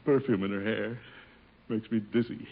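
An actor playing a pompous, bashful middle-aged American suitor in a radio situation comedy, hamming it up with chuckles and muttered asides. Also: male voice, fairly low pitch, steady tone, normal speed, natural voice. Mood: smitten, musing. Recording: muffled, hissy 1950 radio broadcast.

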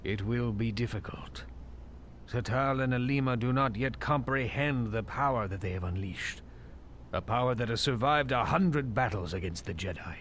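An actor playing a grave, wise Jedi master in a space-fantasy audio drama, solemn, measured and authoritative. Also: male voice, mid-range voice, a bit bright, a little hiss or hum, close to an everyday voice.

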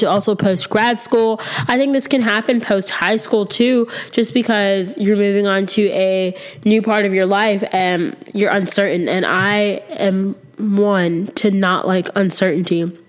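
A woman in her twenties hosting her own podcast alone, slightly sick and a little nasal, rambling and candid with lots of ums, sitting close to the mic. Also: mid-range voice. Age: 20s. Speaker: podcast host. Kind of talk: casual solo podcast chat. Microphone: close to the microphone.

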